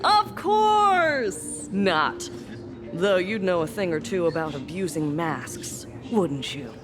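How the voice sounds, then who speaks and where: A woman's voice: fairly low pitch, very animated, slow pace. A woman playing a sardonic, world-weary fake hospital doctor in a video game, with a wry, storytelling delivery. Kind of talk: animated video game voice acting. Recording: music behind the voice.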